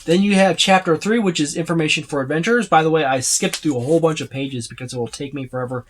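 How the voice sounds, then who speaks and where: A man's voice: on the high side, fast talker. A man giving a casual, unscripted walkthrough as a reviewer, rambling and listing items as he browses. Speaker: tabletop game book reviewer.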